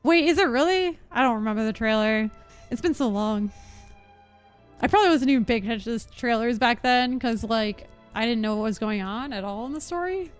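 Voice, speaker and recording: A woman's voice: on the high side, animated, brisk, smooth. A woman livestreaming a video game, chatting casually and reacting in a giggly way. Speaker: game streamer. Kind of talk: casual livestream chat while playing. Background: game music.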